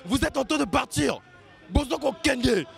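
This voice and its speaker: A man at an outdoor protest, shouting and half-singing slogans. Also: very high voice, expressive, measured pace, vocal fry, faint music behind.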